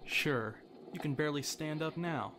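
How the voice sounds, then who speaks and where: A man's voice: a little high, normal speed, background noise, lightly acted. A man playing a young, confident, wisecracking sidekick in a superhero fan dub, wry and quippy. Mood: concerned.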